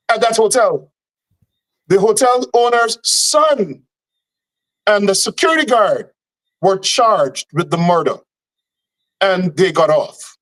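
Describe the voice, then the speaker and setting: A man giving forceful, indignant commentary as a guest on a call-in show. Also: high-pitched, poor audio.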